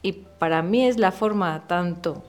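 A woman in her thirties from Murcia with a softened Murcian accent, a guest talking candidly and casually in an interview, full of slang and fillers. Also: fairly low pitch, measured pace, soft background music. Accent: softened Murcian Spanish. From Murcia, Spain. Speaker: podcast guest, illustrator.

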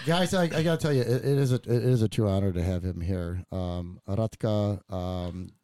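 A man's voice: on the low side, moderate pace, warm tone, no room sound. A man hosting a casual podcast chat, joking around and rambling with lots of fillers and restarts. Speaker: podcast host. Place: studio.

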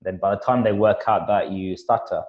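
A man with a very low voice talks through a podcast conversation, stopping and restarting often. He fills his gaps with ums and repeats words as he goes. The sound is dull and lo-fi. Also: steady tone. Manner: halting, with many fillers and repetitions. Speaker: podcast guest. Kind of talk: casual conversation.

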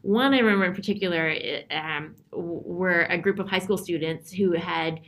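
A woman in her thirties, telling her story in a relaxed, reflective interview with many fillers. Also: lowish voice, moderate pace. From Canada. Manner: thoughtful, frequent fillers and restarts. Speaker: interview guest.

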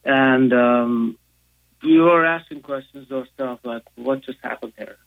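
A man's voice: medium pitch, measured pace, gravelly, phone-quality sound. A man in his thirties with a Turkish accent, a guest on a radio talk show, speaking hesitantly with many fillers. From Turkey. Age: 30s. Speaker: guest, economics professor. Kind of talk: casual interview.

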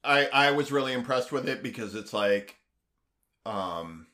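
A man co-hosting a podcast in casual chat, halting with fillers and restarts, then growing eager. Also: mid-range voice, animated, pushed and bright. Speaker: podcast co-host.

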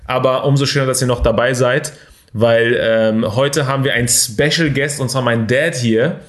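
A young man hosting a motivational podcast, energetic and full of fillers and English buzzwords. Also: medium pitch, normal speed. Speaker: podcast host. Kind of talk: casual motivational talk.

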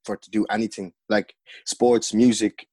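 A young man from the Netherlands, a music artist and podcast guest, telling stories in relaxed, slangy casual chat with lots of fillers. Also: on the low side, normal speed, husky, dry recording.

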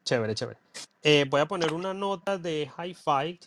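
A man hosting a relaxed podcast conversation, chatty and wandering off on side topics. His voice sits a bit higher than usual for a man. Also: moderate pace, noisy background. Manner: chatty, digressive, laughs easily. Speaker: podcast host. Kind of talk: casual podcast chat.

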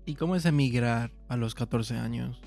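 A man's voice: medium pitch, normal speed, creaky, darkish tone, soft background music. A man hosting a podcast, chatty and joking as he asks his guest questions. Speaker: podcast host. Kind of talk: casual interview chat.

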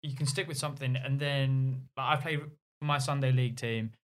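A man co-hosting a podcast, talking in quick, defensive bursts and repeating himself. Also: medium pitch, fairly even intonation. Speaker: podcast co-host. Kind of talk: casual podcast banter.

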